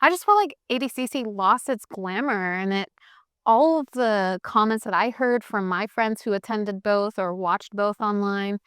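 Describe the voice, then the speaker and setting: Bright-voiced woman from Hawaii, chatty. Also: medium pitch, moderate pace, clean tone, no echo, clean studio sound. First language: Hawaiian Pidgin. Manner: chatty, opinionated, animated. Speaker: podcast co-host. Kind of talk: casual chat.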